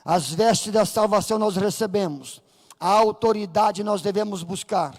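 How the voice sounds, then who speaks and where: A Brazilian pastor preaching with fervor, his voice strikingly high and gravelly, climbing to shouts. The sound carries a long echo from a church hall. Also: moderate pace. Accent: Brazilian Portuguese. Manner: fervent preaching, rising to shouts, acting out voices in the story. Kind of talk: Pentecostal sermon. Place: church sanctuary.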